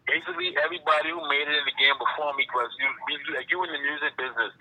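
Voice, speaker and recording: Fast-talking Bahamian man on a phone line. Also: fairly high pitch, wildly swooping pitch, bright, forceful tone. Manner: laid-back, repeats "you know what I'm saying". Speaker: rapper guest. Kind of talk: phone interview. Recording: phone call over music.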